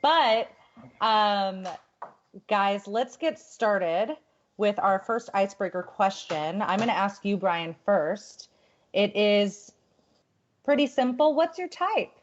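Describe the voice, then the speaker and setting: A woman's voice: medium pitch, expressive, dull audio, slight background noise. A woman hosting a live dating game show from home over a video call, teasing her guests playfully. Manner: playful teasing, quick laughs. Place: home at her parents' house.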